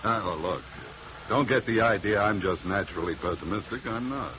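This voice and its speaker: An actor playing a gruff, cynical American oil wildcatter in a 1950s radio drama, talking in a folksy, tough, sardonic way. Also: male voice, fairly low pitch, fairly quick, lightly acted. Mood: sardonic, dismissive. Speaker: supporting actor. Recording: muffled, noisy 1950s radio recording.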